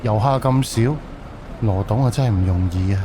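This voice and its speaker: A man's voice: on the low side, moderate pace, background noise, close to an everyday voice. A man playing a polite, earnest young haunted-house owner in a Cantonese audio drama, speaking conversationally. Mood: musing.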